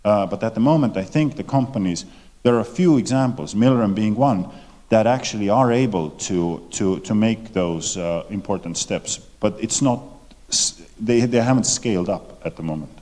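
A man's voice: fairly low pitch, normal speed, warm tone. A man answering on a conference panel, hesitant and often restarting his sentences. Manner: hesitant, restarting, repeating words. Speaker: panel speaker. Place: conference hall. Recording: hall reverb in a large venue.

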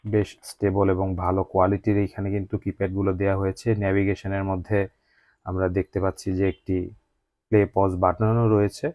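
A man's voice: sits low, little pitch movement, fast, a little mellow, no echo. A man with a Bangladeshi accent narrating a scripted product unboxing review as the channel's host.

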